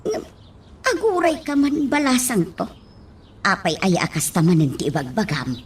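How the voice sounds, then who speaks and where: A woman acting as a devoted, motherly older nanny, gently chiding, in an Ilocano radio drama. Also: medium pitch, animated, normal speed, breathy edge. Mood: fond, chiding.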